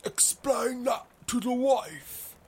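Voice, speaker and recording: A man's voice: very high-pitched, expressive, slow pace, a little mellow, breathy edge, voice pushed up, exaggerated voice. A man voicing a grizzled old explorer in a fantasy story, wry and dry-humored. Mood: teasing.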